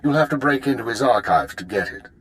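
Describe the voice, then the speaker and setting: An actor playing a composed, authoritative imperial spymaster in a video game, speaking in a formal, measured way. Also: male voice, mid-range voice, lively intonation, a bit bright, close to an everyday voice, poor audio. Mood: briskly instructing. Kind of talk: video game character dialogue.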